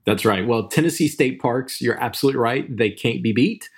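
A man's voice: mid-range voice, brisk, slightly rough. A man with an American accent talking casually as a podcast co-host. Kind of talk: casual chat.

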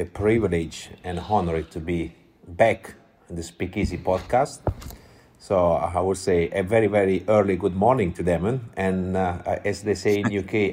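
A man in his forties from Italy, speaking English with an Italian accent as a guest, telling his life story in a relaxed, chatty way with frequent fillers. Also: sits low, a little hiss or hum. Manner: warm storytelling with small laughs and frequent "uh" fillers. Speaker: guest, drinks entrepreneur. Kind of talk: casual interview chat.

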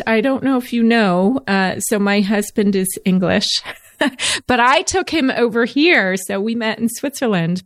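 Higher-voiced woman host, dry sound. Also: dry recording. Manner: friendly, laughs often. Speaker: podcast host. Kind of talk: conversational interview.

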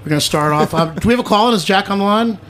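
A man's voice: sits high, very fast, fairly bright tone. A man hosting a casual, joking talk show in a radio studio.

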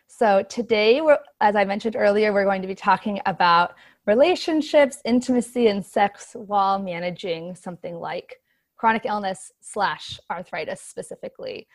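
A woman's voice: mid-range voice, moderate pace. A woman in her thirties hosting a podcast and moderating a panel, upbeat and enthusiastic. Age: 30s.

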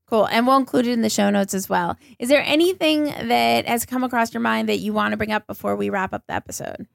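A woman's voice: on the high side, quick pace, gravelly, no echo, studio quality. A woman hosting a podcast, putting casual interview questions to her guest.

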